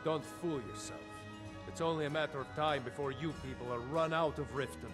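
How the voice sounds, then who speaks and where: A man's voice: a little high, brisk, lightly acted. An actor playing an indignant, scornful male shopkeeper in a video game, speaking in a clipped, dismissive way. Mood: scornful, defiant. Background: game music.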